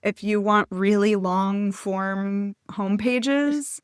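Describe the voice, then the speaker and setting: A woman's voice: medium pitch, slow pace, clean tone, dry recording, studio quality. A woman who is a guest copywriter on a podcast, chatting in a playful, candid way with frequent "like". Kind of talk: casual candid chat.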